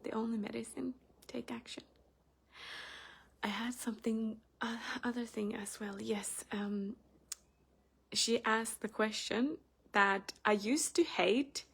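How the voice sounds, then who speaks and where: Finnish lady vlogging, bit of vocal fry, lots of "like" and "um", chatty and open. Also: a little high, slow pace, long pauses. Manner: chatty, frequent fillers, animated when retelling. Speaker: spiritual channel host. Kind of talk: casual personal vlog.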